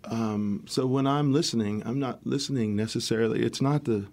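A middle-aged man, a musician guest, talking casually in a rambling way, his voice worn and raspy at the end of a tour. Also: medium pitch, moderate pace, darkish tone. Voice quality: gravelly. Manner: rambling, restarts often, swears casually. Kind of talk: casual interview chat.

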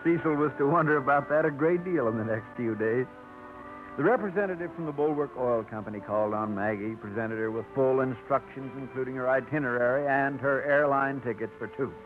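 A male narrator telling the story in a smooth, measured way. His voice is thin and phone-like, as on an old broadcast, with faint music behind. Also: medium pitch. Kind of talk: scripted radio narration. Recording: tinny 1950s radio broadcast with music.

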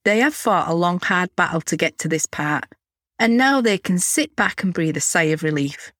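A woman in her forties hosting a chatty solo podcast, candid and a little cheeky, her voice slightly hoarse from a cold. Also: fairly low pitch, expressive, moderate pace, a bit bright, no room sound, clean studio sound. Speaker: podcast host.

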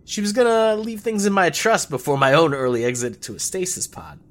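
A garrulous old rebel in an audio drama. His talk is folksy and theatrical, with pitch that swings about freely as he schemes and holds forth. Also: sing-song, fairly quick. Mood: wistful. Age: older. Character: jovial old male revolutionary, garrulous and scheming.

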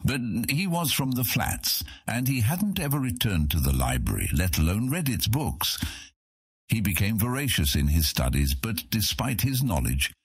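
A man narrating a fantasy audiobook in an expressive, scripted storytelling style. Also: on the low side, moderate pace, breathy edge, no room sound, studio quality.

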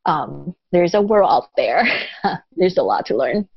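A woman who grew up in Taiwan, a guest in a casual interview, talking in a thoughtful and unhurried way with lots of pauses. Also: low-pitched, big pitch swings, gravelly, husky, no echo. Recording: dull lo-fi dry audio.

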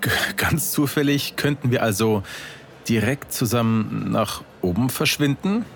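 A man playing an eager, slightly hesitant stranger with a halting delivery in an erotic audio drama. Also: medium pitch, lively intonation, gravelly, soft background music. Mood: tentative, flirting.

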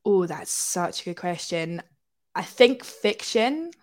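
A woman's voice: lowish voice, expressive, slow pace. A woman from Scotland, a podcast guest, chatting casually and reflectively in an interview.

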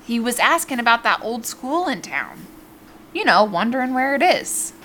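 Jaded female cafe worker, dry, blunt, crisp forward edge, background ambience, audio drama. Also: a little high, big pitch swings, normal speed, pushed and bright, slight background noise. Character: blunt, jaded small-town cafe woman.

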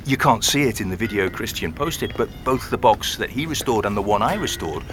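A man playing a friendly, thoughtful music-box restorer in an audio drama, explaining things in a conversational, unhurried way. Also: fairly low pitch, animated, a bit bright, slight background noise. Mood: intrigued. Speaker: actor playing an interviewee. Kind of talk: naturalistic audio drama dialogue.

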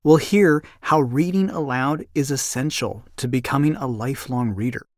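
A man's voice: medium pitch, moderate pace, no room sound, clean studio sound. A man reading a scripted podcast introduction as the host.

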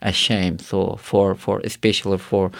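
Gravelly low-voiced man. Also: level tone, no room sound. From Karakalpakstan, Uzbekistan. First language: not English. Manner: halting non-native English, earnest, many fillers. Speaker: guest. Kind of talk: personal testimony interview.

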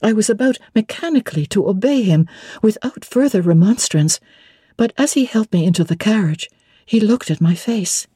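A woman giving an expressive, theatrical first-person narration of classic literature. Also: mid-range voice, moderate pace, slightly rough, no room sound, clean studio sound. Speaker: audiobook narrator.

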